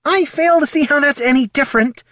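A man's voice: sits high, sing-song, moderate pace, no echo, clean studio sound, pitched above the performer's own voice. A man voicing a fussy, anxious, proper little businessman in a fantasy audiobook, prim and wordy. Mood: flustered.

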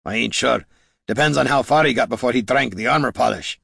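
A man voicing a gruff male fantasy quest leader in an audiobook, barking and scolding in rough, colloquial speech. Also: mid-range voice, a little fast, a bit bright, no echo, clean studio sound, voice pushed up, full character voice. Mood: grumbling.

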